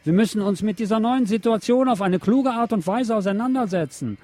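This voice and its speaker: A man's voice: sits high, fairly quick, dark tone, no echo. A man hosting a radio show, reading news articles aloud in a sardonic way with mocking asides.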